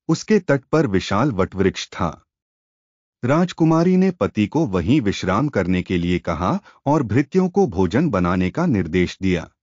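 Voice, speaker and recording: A man narrating a scripted moral tale in a formal, literary, expressive storytelling manner. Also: lowish voice, no room sound, muffled recording.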